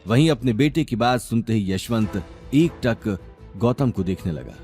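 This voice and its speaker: Low-voiced man narrating with animated pitch. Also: measured pace, faint music behind. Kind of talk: dramatic scripted story narration.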